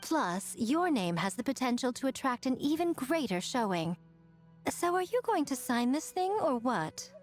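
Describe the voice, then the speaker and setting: A woman's voice: high-pitched, sing-song, faint music behind. A woman voicing a sly, teasing, self-assured businesswoman who coaxes playfully in a video game. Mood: persuasive. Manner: coaxing, playful, drawn-out phrasing. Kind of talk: animated video game dialogue.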